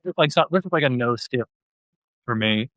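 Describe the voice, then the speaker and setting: A man's voice: mid-range voice, animated, moderate pace, fairly bright tone, slight background noise. A man hosting a podcast and talking casually about music. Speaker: podcast host.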